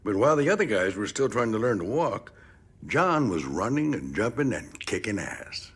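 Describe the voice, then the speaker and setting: Husky, gritty veteran military trainer. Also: male voice, on the low side, big pitch swings, normal speed, full character voice. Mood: proud, impressed. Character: gritty, decorated older military trainer, admiring and blunt. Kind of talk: audio drama interview acting.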